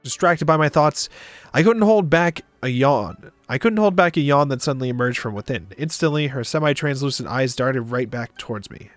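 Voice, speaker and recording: A man's voice: medium pitch, moderate pace, no room sound. Man reading a story over music. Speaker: visual novel reader on a stream. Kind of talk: narrating a visual novel aloud, with casual asides. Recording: music behind the voice.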